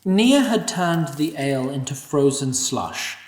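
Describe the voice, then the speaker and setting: A man narrating an audio drama in an expressive storytelling manner. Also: a little high, a little slow. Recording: echoey room, distant mic.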